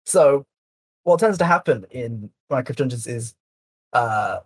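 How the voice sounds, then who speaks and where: A young man from Yorkshire with a light Yorkshire accent, chatting casually as a guest, soft-spoken and a bit hesitant. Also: medium pitch, expressive, unhurried, breathy edge, dry recording, low-quality recording. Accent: light Yorkshire English. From Yorkshire, England. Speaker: speedrunner guest. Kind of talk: casual chat.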